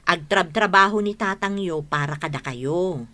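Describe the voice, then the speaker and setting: Evasive, house-proud wife and mother; forceful bright voice, Ilocano accent, radio drama. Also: fairly low pitch, normal speed.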